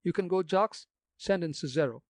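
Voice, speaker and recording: Man voicing emphatic gentleman, firm, dry close sound. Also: high voice, brisk, no echo, voice pushed up. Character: confident young gentleman, triumphant.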